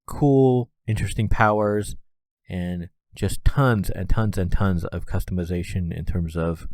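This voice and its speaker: A man's voice: low voice, darkish tone, no echo. A man with a congested, stuffy nose hosting a casual solo podcast, rambling with plenty of "uh" and "you know". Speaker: podcast host.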